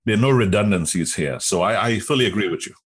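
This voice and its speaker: Male interview guest, Trinidadian accent, lower register, rough husky tone, emphatic conversational explainer. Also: expressive, quick pace. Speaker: interview guest, technology executive.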